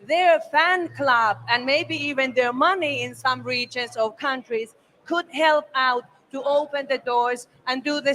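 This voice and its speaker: A woman speaking as a panelist at a conference, talking earnestly and pausing often with fillers. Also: high voice, a bit bright, rough recording. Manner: earnest, measured, with frequent fillers. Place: conference stage.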